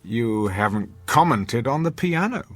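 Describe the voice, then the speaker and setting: A man's voice: mid-range voice, very animated, unhurried. A man playing a domineering, sarcastic wealthy husband in a radio drama, speaking with haughty, formal diction. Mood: smug, mocking. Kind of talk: classic radio drama acting.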